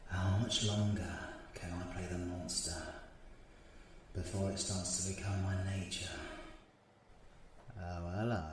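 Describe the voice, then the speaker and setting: A low-voiced British supervillain, acted by a man in an audio roleplay. He is cocky and menacing, with a weary edge, drawing out his teasing threats slowly over a noisy ambient background. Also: darkish tone. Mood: weary, brooding. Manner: slow, teasing, theatrical, with pet names. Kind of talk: audio roleplay acting, villain ASMR.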